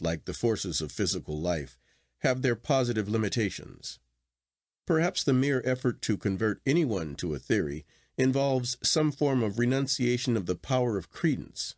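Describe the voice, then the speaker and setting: A man reading literary fiction aloud as an audiobook narrator, in an expressive, dramatic manner. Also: lowish voice, dry recording, studio quality.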